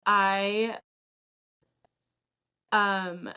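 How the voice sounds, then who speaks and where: A woman's voice: medium pitch, flat delivery, extremely slow, bright, forceful tone, clean tone, dull audio. A young woman from California, a podcast guest telling a candid, rambling personal story in casual chat, with many "like" fillers.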